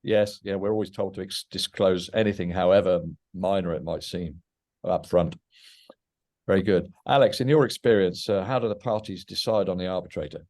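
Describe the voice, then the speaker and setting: A man's voice: sits low, normal speed, darkish tone. A man who is an arbitrator moderating a webinar panel, speaking hesitantly with restarts.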